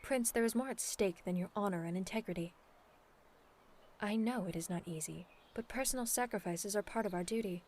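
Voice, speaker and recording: A woman playing a dignified, earnest demon princess in a scripted ASMR audio roleplay, speaking in a formal, measured, persuasive way. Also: medium pitch, darkish tone, slight background noise, lightly acted. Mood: insistent. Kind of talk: scripted ASMR roleplay acting, addressed to the listener.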